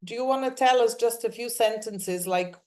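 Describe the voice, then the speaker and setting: A woman career coach hosting an interview over a video call, encouraging and quick with affirmations. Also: a little high, darkish tone. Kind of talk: interview host.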